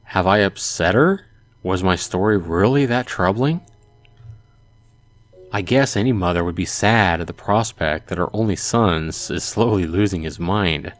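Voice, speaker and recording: A man's voice: deep voice, measured pace. A man reading a visual novel aloud as a let's play host, narrating expressively in the first person. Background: music.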